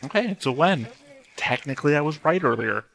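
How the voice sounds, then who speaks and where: A man's voice: fairly high pitch, expressive, fairly quick, creaky, fairly bright tone. A man giving casual reaction commentary on a show, musing and thinking aloud as he watches. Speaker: host of an anime reaction channel.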